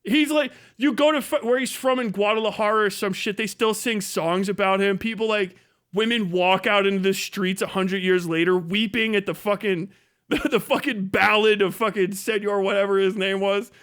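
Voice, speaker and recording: A man with an extremely high, bright voice hosts a livestream in casual, ranting style. He swears freely and tells mocking, exaggerated stories, often laughing as he talks. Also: steady tone, moderate pace, smooth. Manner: profane; laughs often while talking. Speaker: livestream host. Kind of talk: casual comedic rant.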